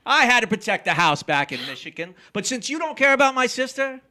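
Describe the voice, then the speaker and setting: A man playing a crafty, domineering husband in a crime drama, loud, wheedling and blustery. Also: extremely high pitch, sing-song, a little fast, exaggerated voice. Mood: defensive bluster. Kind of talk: theatrical crime drama acting.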